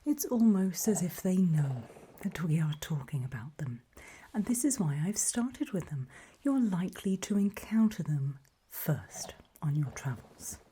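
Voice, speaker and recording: A woman narrating an ASMR roleplay in a breathy whisper, guiding the listener in hushed, deliberate short phrases with pauses between them. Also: fairly low pitch, big pitch swings, a little hiss or hum.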